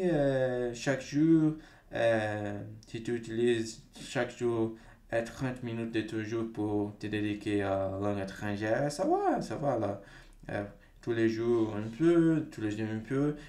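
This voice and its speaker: A man's voice: fairly low pitch, darkish tone, roomy sound. Man, hesitant. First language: Portuguese. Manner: hesitant, many fillers and restarts. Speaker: language-learning video host. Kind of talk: casual talk to camera.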